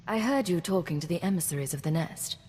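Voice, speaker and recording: An actress playing a composed, businesslike imperial agent in command, direct and matter-of-fact, in video game dialogue. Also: female voice, fairly low pitch, expressive, quick pace, a little mellow, husky, big-room sound.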